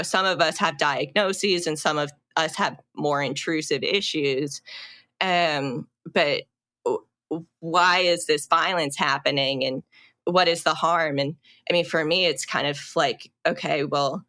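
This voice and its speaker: A young adult woman, a podcast guest, telling her own story in a halting, reflective way with many fillers and restarts. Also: deep voice, bright, forceful tone. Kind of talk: personal storytelling in a podcast interview.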